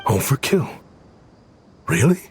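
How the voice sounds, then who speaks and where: An actor playing an earnest, slightly awkward exiled scholar and inventor in a video game, with a wordy way of talking. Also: male voice, slow pace, darkish tone, slight background noise, close to an everyday voice. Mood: eager, pleading. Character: eager exiled male scholar, weapons-obsessed inventor, earnest.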